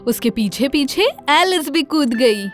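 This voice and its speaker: A woman narrating a scripted children's fairy tale in an expressive storytelling manner. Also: high voice, soft background music.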